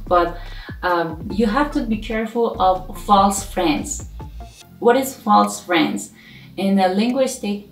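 A young woman, a native Persian speaker with a Persian accent, teaching a language lesson to camera from her living room and repeating example words slowly and carefully. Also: on the low side. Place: living room with bookshelves, indoors. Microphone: camera a few feet away, seated. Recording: echoey room, mic at a distance.

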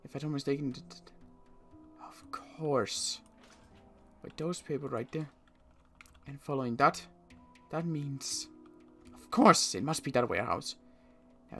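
A man's voice: mid-range voice, unhurried, pauses often, a bit bright, faint music behind. A man playing a suspicious, agitated apocalypse survivor in improvised game roleplay, muttering to himself with stammers and repeated words. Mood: pondering, muttering.

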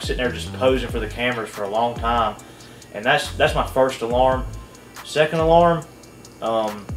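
A man's voice: medium pitch, a bit bright, reverberant. A young man co-hosting a casual video review, skeptical and hedging, thinking aloud with many fillers. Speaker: co-host. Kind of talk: casual video analysis chat. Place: small indoor room at a table.

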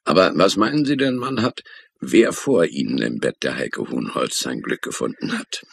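An actor playing a smug, suspicious homicide detective in a German radio crime drama, taunting and insinuating. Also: male voice, very deep voice, gravelly, husky, dry recording, full character voice. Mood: smug needling. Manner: taunting, insinuating, drawn-out emphasis.

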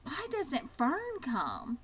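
A woman's voice: high-pitched, huge pitch swings, extremely slow, voice pushed up, exaggerated voice. Woman as a timid, plaintive little pig. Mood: tearful, pleading. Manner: plaintive, bewildered. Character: very young, timid, unhappy male piglet. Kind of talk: children's storybook reading.

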